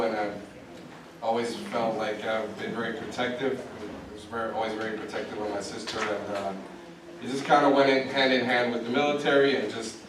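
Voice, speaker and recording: A middle-aged man, a police officer from Puerto Rico and Milwaukee, giving a halting, reflective answer on a panel. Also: on the low side. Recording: echoey hall, distant mic, background noise.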